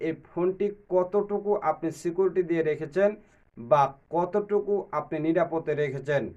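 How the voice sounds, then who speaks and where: A man's voice: on the high side, highs cut off. A man hosting a step-by-step phone tutorial in a repetitive instructional way. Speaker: tech tutorial host.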